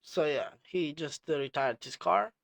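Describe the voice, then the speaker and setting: A man who is a non-native English speaker hosting casual commentary, explaining things in a halting, repetitive way. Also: a little high, creaky, fairly bright tone, slight background noise. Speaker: host reviewing team radio clips.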